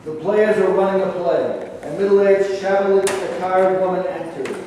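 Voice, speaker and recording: Guy narrating a play script, high voice, very echoey, far from mic. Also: fairly even intonation, moderate pace, dark tone. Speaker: stage-direction narrator. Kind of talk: scripted narration at a staged reading. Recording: echoing hall, distant mic, faint noise.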